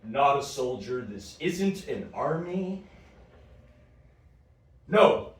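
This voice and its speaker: An actor of unclear sex playing a stern, dismissive alien time traveler in a science fiction audio drama, curt and deliberate. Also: medium pitch, big pitch swings, distant mic. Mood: curt, scornful. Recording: very echoey, off-mic, faint noise.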